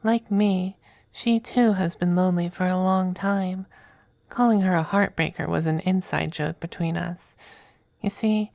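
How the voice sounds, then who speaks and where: A woman playing a possessive, doting, androgynous male demon lover in an intimate ASMR roleplay, speaking softly and often slipping into whispers. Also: mid-range voice, no echo. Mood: weary, tender. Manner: soft, breathy, unhurried, often dropping to whispers. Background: faint music.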